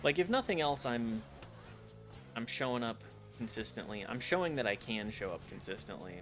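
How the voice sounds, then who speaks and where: A man's voice: medium pitch, expressive, moderate pace, a bit bright. A man streaming a game, chatting reflectively with his viewers as he plays. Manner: reflective, talks to chat while playing. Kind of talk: casual gameplay stream chat. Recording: game music playing behind.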